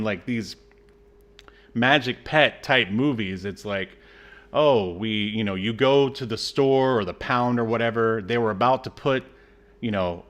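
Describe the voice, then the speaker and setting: A man's voice: mid-range voice, a bit bright. A man co-hosting a podcast, recapping a film in a casual, mocking and sarcastic way. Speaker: podcast co-host recapping a movie.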